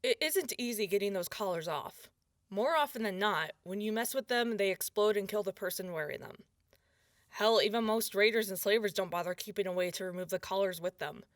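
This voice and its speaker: An actress playing a blunt, suspicious sheriff, giving firm, plain-spoken testimony in an audio drama. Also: female voice, medium pitch, a little fast, dry recording, studio quality, natural voice. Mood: wary, firm. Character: blunt, suspicious woman sheriff of a wasteland town.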